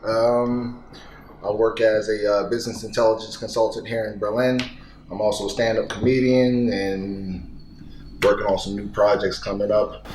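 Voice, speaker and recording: A man's voice: lowish voice, moderate pace. Joking man from Philadelphia. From Philadelphia, USA. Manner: joking, relaxed. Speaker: guest, comedian. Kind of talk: casual chat. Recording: echoey room with background noise.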